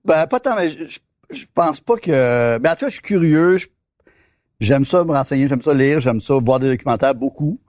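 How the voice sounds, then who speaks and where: A man in his fifties with a Québécois accent, hosting a casual podcast chat, animated and often restarting his words. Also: medium pitch, normal speed, no room sound, studio quality. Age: 50s. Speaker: podcast host.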